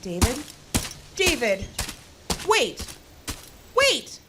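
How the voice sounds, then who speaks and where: A woman's voice: a little high, huge pitch swings, very slow, background noise, exaggerated voice. A woman acting an anxious, insistent hiker in an audio horror drama. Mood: alarmed, pleading.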